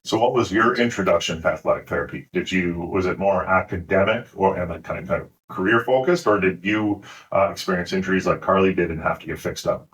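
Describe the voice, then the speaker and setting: A man's voice: low-pitched, fast talker, a bit bright, rough recording. A man hosting a business podcast interview, affable and chatty. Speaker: podcast host.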